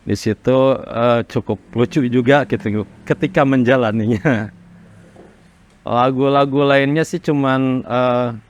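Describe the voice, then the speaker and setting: A man from Indonesia, a rock singer, casually recalling his career in an interview in a halting, reflective way. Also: medium pitch, muffled recording. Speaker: rock vocalist being interviewed. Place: studio workroom.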